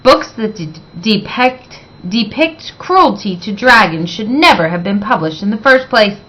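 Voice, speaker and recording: A woman reading a picture book aloud in an expressive, deliberate way, stressing words for young listeners. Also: mid-range voice, poor audio. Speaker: children's story reader.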